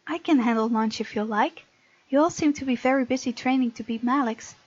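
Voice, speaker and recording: High-voiced woman reading a game aloud. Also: brisk, a little mellow, highs cut off. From Netherlands. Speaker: gamer reading a visual novel aloud and voicing the heroine. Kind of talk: let's play narration with casual asides.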